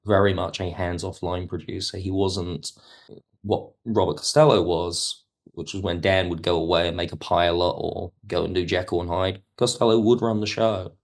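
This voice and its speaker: Man, deep voice, measured explanatory delivery, conversational interview commentary, digressive. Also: steady tone. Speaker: podcast guest, television history expert.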